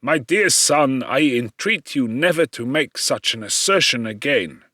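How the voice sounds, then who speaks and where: A man's voice: mid-range voice, animated, bright, forceful tone, dry recording, clean studio sound. Man playing a grave, pleading old father. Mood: astonished, pleading. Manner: slow, emphatic, entreating. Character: astonished, pleading elderly father, grave and loving.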